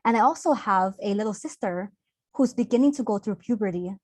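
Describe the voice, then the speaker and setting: A woman's voice: mid-range voice, animated, fairly quick, a little mellow, dry recording. A young woman giving an online workshop talk over a video call, earnest and open, chatting naturally with fillers. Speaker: workshop presenter.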